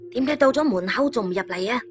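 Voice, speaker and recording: A woman voicing a sly, smug male monk in a martial arts audio story. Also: a little high. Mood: stern. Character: sly, smirking martial monk, a grown man.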